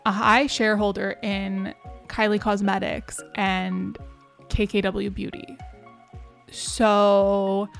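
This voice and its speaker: A young adult woman co-hosting a podcast, chatting casually and gossiping with lots of fillers and quick asides. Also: mid-range voice, vocal fry. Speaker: podcast co-host. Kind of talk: casual chat.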